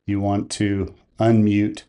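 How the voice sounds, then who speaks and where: A man presenting a software tutorial, explaining each step in a methodical, plain-spoken way. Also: lowish voice, completely flat delivery, slow pace.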